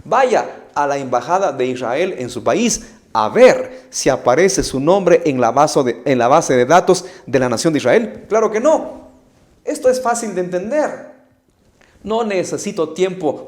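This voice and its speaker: A man's voice: on the high side, big pitch swings, measured pace, a little mellow. A middle-aged man with a Guatemalan Spanish accent, preaching an emphatic Bible study to camera, using rhetorical questions and repetition. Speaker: evangelist preacher.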